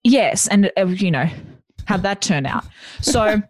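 A woman with a deep voice, chatting as a podcast guest and telling self-deprecating stories. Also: animated, slow pace, no echo. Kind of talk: casual chat.

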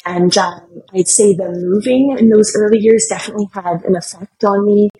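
A woman guest, born in Germany and raised in Canada, speaks at an ordinary mid pitch. She answers in a friendly, conversational way and laughs easily. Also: steady tone, normal speed, dark tone, poor audio.

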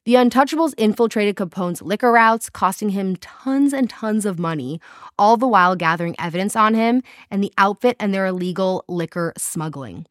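A woman's voice: medium pitch, normal speed, no echo, clean studio sound. Giggly South African woman podcaster. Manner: giggly, animated storytelling with silly voices. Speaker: podcast co-host. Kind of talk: casual chat, reading history notes.

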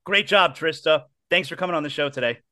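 Guy hosting a sports show, high and bright voice, blunt and emphatic. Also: fast talker. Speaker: sports podcast host. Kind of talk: casual sports chat.

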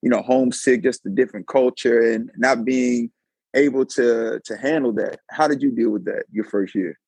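A man's voice: mid-range voice, moderate pace, darkish tone, husky, no room sound. A man interviewing a friend, asking long, loose questions and repeating words as he goes.

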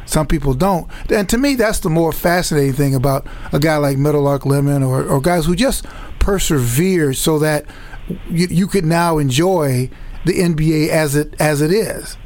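A man's voice: on the high side, moderate pace, a little mellow. A man from Chicago's South Side hosting an interview, talking reflectively and often repeating words as he thinks. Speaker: host.

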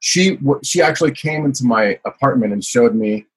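A man's voice: mid-range voice, animated, moderate pace, slightly rough. A man from the United States, a guest telling his life story in a casual interview, rambling with many fillers. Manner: rambling, frequent "you know" fillers.

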